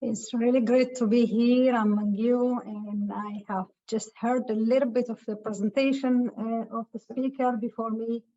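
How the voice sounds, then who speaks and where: A woman from Ethiopia giving a webinar talk over a video call, speaking haltingly with many filler sounds. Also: a little high, steady tone, mellow. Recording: muffled lo-fi call audio.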